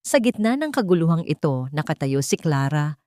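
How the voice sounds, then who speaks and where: A woman with a Filipino accent narrating a scripted dramatic first-person story in a measured, emotive way. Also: deep voice, big pitch swings, a little mellow, no room sound, clean studio sound.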